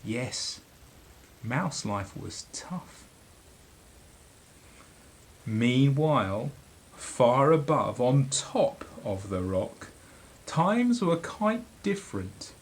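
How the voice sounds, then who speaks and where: A middle-aged man reading a children's story aloud, dramatically and with deliberate pauses. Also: mid-range voice, big pitch swings, a little hiss or hum. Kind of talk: expressive children's storybook narration.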